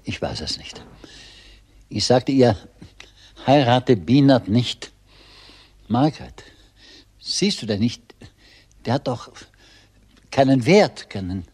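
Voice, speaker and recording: An actor playing a grieving, bitter older schoolteacher in a crime drama, speaking haltingly and insistently. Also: male voice, mid-range voice, sing-song, darkish tone, breathy edge, full character voice. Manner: halting, emphatic, repeating himself. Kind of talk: German crime drama acting.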